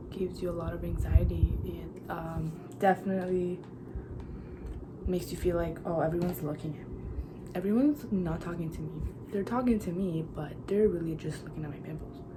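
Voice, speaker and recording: A woman's voice: lowish voice, noisy background. A woman in her twenties from Iran, chatting casually and bluntly while doing her makeup, giving motivational pep talk. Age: 20s. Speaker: beauty vlogger. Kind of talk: casual get-ready-with-me chat.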